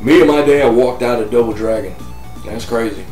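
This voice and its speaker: A man's voice: medium pitch, fairly quick, a little mellow. An American man in his thirties talking casually and bluntly to camera as a game review host, repeating himself for emphasis. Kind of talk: casual opinionated vlog talk to camera. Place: living room on a couch, indoors. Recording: roomy sound, distant mic, music behind.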